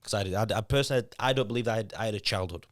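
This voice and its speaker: A man's voice: on the low side, racing, dry recording, studio quality. A man in his thirties from Lithuania with a Yorkshire accent and Lithuanian as his first language, a podcast guest talking candidly in a casual chat, swearing and restarting his words often. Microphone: podcast mic.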